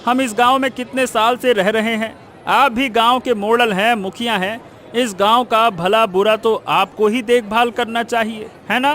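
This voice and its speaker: A man's voice: very high-pitched, fairly even intonation, normal speed, a bit bright. A man playing a scheming, spiteful village cheat in a cartoon, argumentative, blustering and insistent. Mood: scheming, persuasive. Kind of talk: Hindi cartoon moral story acting.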